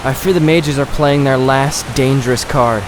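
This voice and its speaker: A man's voice: medium pitch, normal speed, close to an everyday voice. A man playing a grim, anxious dragon lord in an audio drama, with grave, dramatic delivery. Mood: grave, urgent. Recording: hissy, noisy home recording.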